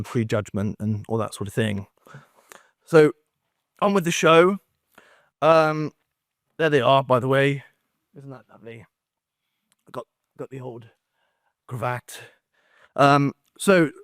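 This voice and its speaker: Guy doing a conference talk, dry sound, kinda halting, wry and self-deprecating, jokey asides. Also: medium pitch, no echo. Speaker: conference speaker, academic. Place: conference room, speaking standing before an audience.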